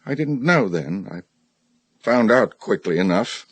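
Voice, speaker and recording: An actor playing a tormented middle-aged husband in a radio drama, confessing in a halting, heavy way. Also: male voice, fairly low pitch, animated, husky, no echo. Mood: haunted, halting. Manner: halting, heavy, agonized delivery.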